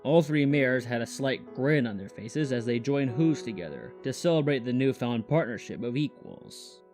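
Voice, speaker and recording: A man's voice: mid-range voice, lively intonation, normal speed, a little mellow. A male narrator reads a scripted story for an audio drama. He tells it in the third person, with music playing behind his voice.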